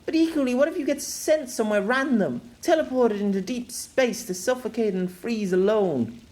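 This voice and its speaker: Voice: medium pitch, moderate pace, a little mellow, voice pushed up, close to an everyday voice. A narrator putting on a tearful magical young woman. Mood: urgent. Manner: pleading, emotional. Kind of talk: audiobook character voice.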